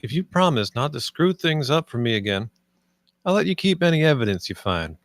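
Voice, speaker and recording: An actor at a film table read playing a gruff, mocking spaceship assessor with a sardonic, dismissive manner. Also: male voice, mid-range voice, sing-song, fairly quick, no room sound, clean studio sound. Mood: hard-nosed.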